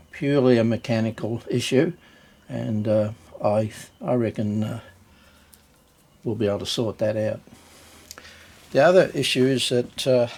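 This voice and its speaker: A man giving a casual, unscripted hands-on demonstration as a hobbyist video host, hesitant and halting as he thinks aloud. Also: on the low side, darkish tone.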